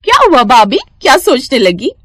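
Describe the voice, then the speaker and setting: A woman acts out a young sister-in-law in a family tale. She is playful, teasing and demanding, with a high, animated voice. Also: normal speed, dry recording, full character voice.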